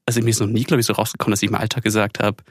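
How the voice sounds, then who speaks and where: A man's voice: lowish voice, level tone, rapid-fire, no echo, studio quality. A young man in his twenties co-hosting a podcast in casual chat, playful and joking, who grew up bilingual. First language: bilingual upbringing. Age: 20s. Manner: playful, jokey, sings silly bits. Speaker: podcast co-host.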